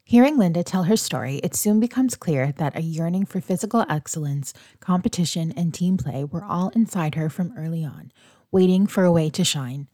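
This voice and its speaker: A woman reads a feature article aloud, her voice sitting low and steady. The pace is even and measured. It is recorded close and dry, with no room sound at all. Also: no room sound. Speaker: reader of a magazine article.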